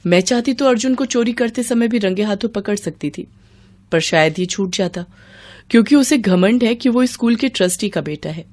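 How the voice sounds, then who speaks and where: A woman's voice: medium pitch, normal speed, a little mellow, pitched above the performer's own voice, lightly acted. A woman voicing a principled, firm schoolteacher in a cartoon moral story, with an admonishing manner. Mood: accusing, firm. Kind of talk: animated moral story dialogue.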